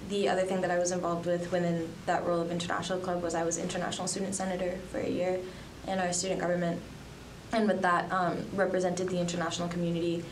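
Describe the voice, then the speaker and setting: A young woman from the Dominican Republic and Colombia, a student journalist answering interview questions in a chatty way with many fillers. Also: lowish voice. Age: young adult. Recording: echoey room, distant from mic, noisy.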